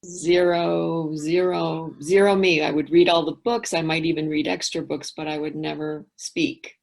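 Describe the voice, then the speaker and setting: Lowish-voiced woman telling her story. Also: steady tone, unhurried, vocal fry. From England. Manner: thoughtful, candid, trails off into quiet asides. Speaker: guest. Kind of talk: reflective interview talk.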